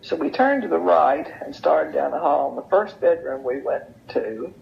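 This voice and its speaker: Older woman, creaky low-fi voice recording, folksy storytelling, unhurried reminiscence. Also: very animated. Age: older, over 70. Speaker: interview guest sharing memories. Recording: dull, lo-fi sound.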